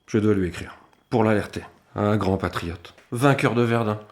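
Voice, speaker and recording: A man's voice: lowish voice, normal speed, lightly acted. A man playing a proud, stubborn, patriotic peasant father in an audio drama. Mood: proud, torn. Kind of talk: French audio drama acting.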